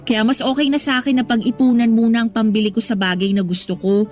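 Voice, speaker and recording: A woman's voice: on the high side, steady tone, fast talker, darkish tone, faint music behind, lightly acted. A young woman with a Filipino accent playing a hardworking, evasive office employee in a radio drama. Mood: firm, reassuring.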